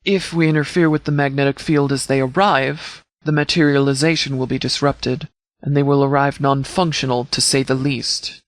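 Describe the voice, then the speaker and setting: A man voicing a stern, commanding sheriff in a video game, giving a formal military briefing with measured, authoritative words. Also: mid-range voice, fairly bright tone, dry recording, lightly acted. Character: stern, commanding male sheriff briefing his forces before battle. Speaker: sheriff, leader.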